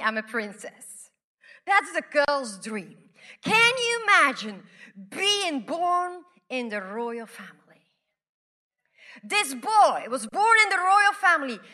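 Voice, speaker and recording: A woman from Belgium preaching a sermon, repeating phrases and swinging from loud declarations to hushed whispers. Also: sits high. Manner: slow, deliberate delivery with repeated phrases.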